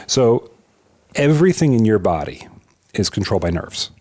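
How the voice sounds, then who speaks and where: A man's voice: fairly low pitch, very animated, moderate pace, a little mellow. A man, a chiropractor, explaining health topics as a podcast guest, talking with energy and frequent restarts and repeated words. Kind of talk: conversational interview, explaining.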